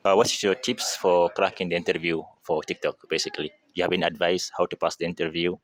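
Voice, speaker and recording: A man hosting a casual interview with coworkers at an office, asking questions in a brisk way. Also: sits low, level tone, poor audio. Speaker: interviewer, vlog host.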